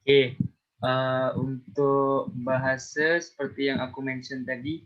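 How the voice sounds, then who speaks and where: A man's voice: medium pitch, level tone, slow. A young man with a Malaysian accent, answering hesitantly as an interviewee and dropping in English words. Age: young adult. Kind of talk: casual chat. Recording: dull lo-fi recording.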